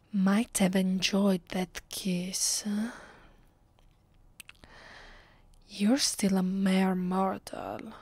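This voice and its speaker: Slow, breathy Italian-accented vampire mistress, acted by a woman. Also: mid-range voice, no room sound. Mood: haughty, intimate. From Italy. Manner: soft, breathy, often half-whispered, deliberate. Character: haughty, possessive female vampire mistress, secretly tender. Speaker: voice actress. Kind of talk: ASMR roleplay, intimate scripted monologue.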